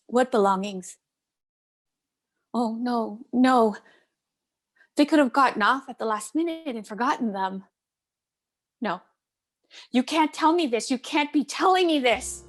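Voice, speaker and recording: A woman playing a gentle, emotional mother in a dramatic table read of a stage play. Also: a little high, animated, a little slow, long pauses. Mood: disbelieving, grief-stricken. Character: Norma, gentle mother shattered by terrible news.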